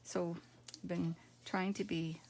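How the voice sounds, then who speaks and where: A middle-aged woman with a croaky voice, demonstrating card making at home and thinking aloud in a relaxed, rambling way. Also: lowish voice, takes it slowly, slight background noise. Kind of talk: casual craft tutorial.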